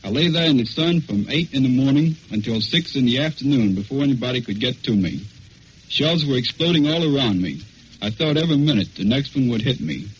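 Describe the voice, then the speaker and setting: A man's voice: mid-range voice, lo-fi sound, slight background noise. A young American man giving scripted first-person testimony as a wounded soldier on a 1940s radio broadcast. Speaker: wounded soldier recounting combat.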